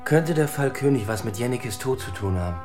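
An actor in a German radio drama playing a serious younger police detective who speaks in short, probing questions. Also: male voice, medium pitch, lively intonation, a little fast, over music, lightly acted. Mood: serious, wary.

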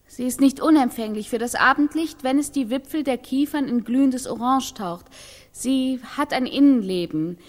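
An actress in a radio play, playing a woman who delivers a careful, measured testimony as if prompted, in a reverberant space. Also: high-pitched, natural voice. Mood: measured, assured. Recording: long reverberant hall.

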